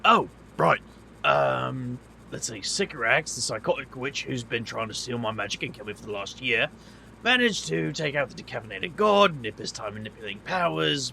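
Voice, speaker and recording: Gravelly, wry British magician played by a man. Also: mid-range voice, bright, forceful tone, slight background noise, full character voice. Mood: rambling, wry. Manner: dry, colloquial, self-deprecating. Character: wry, world-weary male magician, scrappy and defensive. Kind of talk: audio drama narration and acting.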